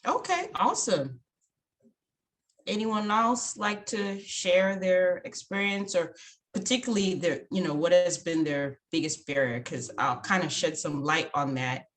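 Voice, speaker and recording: A young woman from Zimbabwe, presenting a casual online finance workshop over a video call in a relaxed, chatty way with many fillers. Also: fairly low pitch, unhurried.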